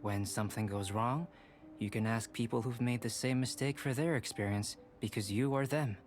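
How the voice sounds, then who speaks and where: An actor playing a calm, composed young alchemist who explains things in a measured, scholarly way. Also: male voice, medium pitch, soft background music, lightly acted.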